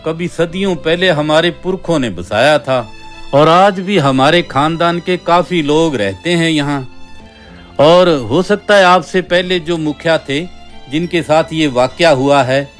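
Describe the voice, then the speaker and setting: A man's voice: a little high, lightly acted. A man playing a stubborn, self-important holy man in a cartoon story, with an insistent, declamatory delivery. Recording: background music.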